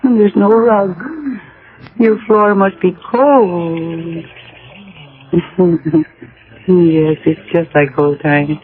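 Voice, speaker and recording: A woman's voice: fairly low pitch, steady tone, very slow, pauses often. An actress playing a sweet, wistful young woman in a 1980s radio drama, dreamy and polite. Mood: dreamy, nostalgic. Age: young adult. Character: sweet, wistful young woman revisiting her childhood home. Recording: narrow-band vintage radio broadcast.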